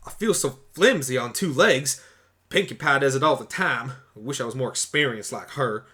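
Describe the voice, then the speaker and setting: A man's voice: medium pitch, moderate pace, bright, forceful tone, natural voice. A man voicing a blunt, stubborn young country pony in a fan audio drama. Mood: puzzled. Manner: folksy, blunt, exclamatory. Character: plain-spoken, stubborn young country mare pony turned human.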